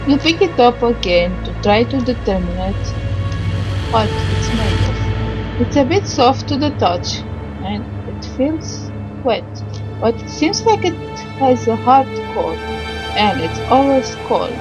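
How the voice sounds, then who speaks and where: High-voiced woman reading aloud over music. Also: lively intonation, poor audio. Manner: halting read-aloud with stumbles and pauses. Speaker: gamer reading a visual novel aloud. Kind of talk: game playthrough narration with asides. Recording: music behind the voice. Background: game music.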